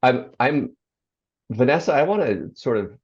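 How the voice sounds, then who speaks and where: A speaker in their sixties with a low bass voice, talking reflectively as an interview guest, pausing often with ums and restarting sentences. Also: very animated, darkish tone, husky. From United States. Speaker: guest, author and former radio program director. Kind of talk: interview conversation. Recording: slightly dull lo-fi audio.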